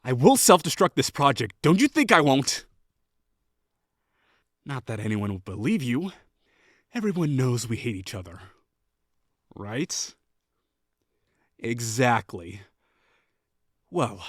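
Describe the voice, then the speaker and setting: A man's voice: medium pitch, no echo, clean studio sound. A man playing a sarcastic, haughty college rival. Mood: flustered teasing. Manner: sarcastic, scornful, quick to bristle. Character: haughty, sarcastic young male college rival, secretly lonely. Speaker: audio roleplay voice actor. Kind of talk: scripted audio roleplay.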